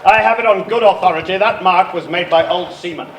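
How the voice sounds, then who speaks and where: A man's voice: high voice, fairly quick. A man playing a desperate, stubbornly insistent ship's passenger in a melodramatic radio comedy parody. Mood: urgent. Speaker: actor in live radio comedy. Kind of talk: parody radio theatre acting. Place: arts centre stage before live audience. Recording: distant, echoey live theatre stage, hissy.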